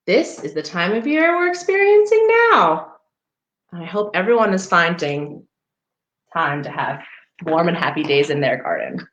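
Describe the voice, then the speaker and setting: Lady reading a kids picture book out loud, takes her time, really up and down voice, chats to the little ones, bit echoey. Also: on the low side, measured pace, muffled recording. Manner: expressive, addressing young listeners. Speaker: storybook reader for a parks program. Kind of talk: children's picture book read-aloud with chatty asides. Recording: roomy, echoing sound.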